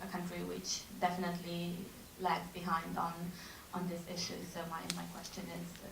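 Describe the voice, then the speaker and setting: A young woman from Romania asks a question from the audience, hesitant and often repeating words. Her voice is flat and steady, and it sounds far from the mic in an echoey hall. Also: on the low side, normal speed, gravelly, background noise. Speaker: audience member.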